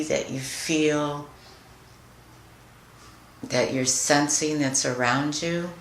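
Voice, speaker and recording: A woman leading a guided meditation in a soothing, deliberate manner, leaving long pauses between phrases. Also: low voice, slight background noise. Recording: roomy echo with music behind. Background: music.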